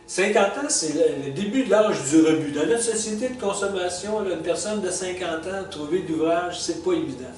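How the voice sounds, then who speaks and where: A man in his sixties with a Québécois French accent, thinking back over the stages of his life in a halting, casual way, full of fillers, restarts and small chuckles. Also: sits high, a little mellow, far from the mic. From Quebec. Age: 60s. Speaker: retired vlogger reflecting on life stages. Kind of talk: casual spoken reflection. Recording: echoey room, speaker well back from the mic, faint hiss.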